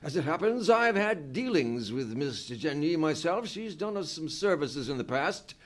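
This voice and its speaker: A man's voice: a little high, big pitch swings, normal speed. An actor playing a genial, well-bred older English statesman in a live radio play, courteous, chatty and avuncular. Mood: businesslike. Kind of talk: live old-time radio play acting.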